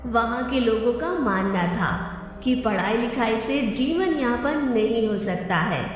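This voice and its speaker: A woman's voice: on the high side, lively intonation, unhurried, a little hiss or hum. A woman reading scripted narration for a cartoon moral story. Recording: very echoey hall, distant, faint music.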